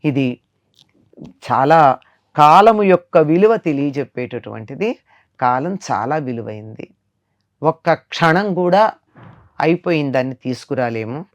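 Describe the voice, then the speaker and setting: A woman's voice: low-pitched, expressive, a little slow, long pauses. An older woman giving a moral discourse in conversation as a spiritual teacher, emphatic and repeating phrases to drive points home.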